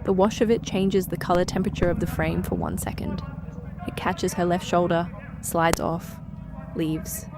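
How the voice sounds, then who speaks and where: A woman's voice: lowish voice, fairly even intonation, moderate pace, over loud music. A woman reading scripted scene narration in a detached, descriptive manner.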